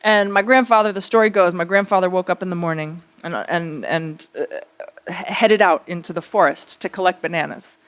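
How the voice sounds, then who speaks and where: A woman speaking conversationally as an artist at a public talk, telling stories in a reflective, searching way, pausing and circling back. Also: fairly low pitch, a bit bright. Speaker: theater artist speaking on a panel.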